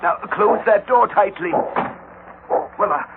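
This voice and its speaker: Old radio drama crazy inventor grandpa, really high voice, grand n fervent, thin staticky audio. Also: male voice, takes it slowly. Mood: grand, eager. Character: fervent, grandiose, obsessed elderly rainmaker inventor, a father. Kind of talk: 1940s-50s radio drama acting. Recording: tinny, noisy old radio recording.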